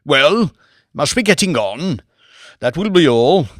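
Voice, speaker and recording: A man's voice: fairly high pitch, big pitch swings, takes it slowly, fairly bright tone, dry recording, studio quality, pitched above the performer's own voice, heavily acted. A man voicing a flustered, imperious lord in an audiobook, with a blustering, dismissive manner. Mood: brusque. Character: flustered old English lord, brusque and imperious.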